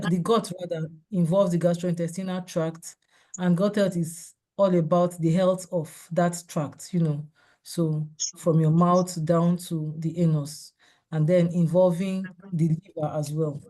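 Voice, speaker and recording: A hoarse woman with a cold gives a measured, explanatory seminar over a video call. Her voice is low and mellow, and she pauses to clear her throat. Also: steady tone, rough recording. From Nigeria. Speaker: seminar speaker. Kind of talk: online health talk with slides.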